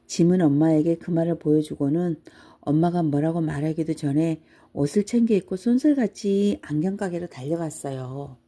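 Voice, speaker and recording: Female storybook narrator for bedtime listening; soft dark timbre, slightly low, measured delivery.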